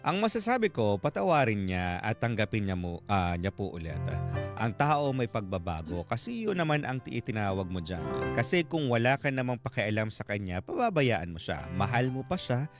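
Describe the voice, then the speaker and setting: A Filipino man hosting a radio advice show, speaking conversationally in short, paused phrases. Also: lowish voice, big pitch swings. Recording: guitar music playing behind the voice. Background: guitar background music.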